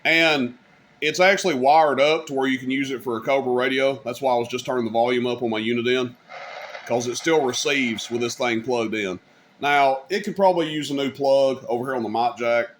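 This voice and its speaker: A man with a mid-pitched voice talks informally through a hands-on product demo. His delivery is folksy and rambling, like a hobbyist hosting his own video. There is some noise behind him. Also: fairly quick, a bit bright. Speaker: hobbyist video host demonstrating radio gear. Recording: clear background noise.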